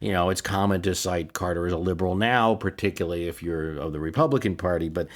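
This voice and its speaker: A man with a fairly low voice delivers political history commentary as a podcast host. He speaks conversationally, making his case with emphasis and putting questions to the listener as he argues. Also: fast.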